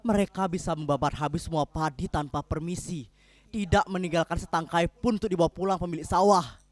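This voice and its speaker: A stubborn, pessimistic husband, acted by a man in a staged dramatic reading. His fairly high voice is declamatory and rhetorical, with theatrical pauses. The sound is close and dry. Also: fairly even intonation, dry recording, natural voice. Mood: grim, argumentative.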